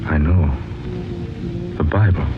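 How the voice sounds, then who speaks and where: A troubled, earnest writer in a 1940s supernatural radio play. His voice is deep and brooding, with deliberate dramatic phrasing. The recording is old and dull, and music plays clearly underneath. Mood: questioning. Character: earnest, troubled male writer narrating his strange dream.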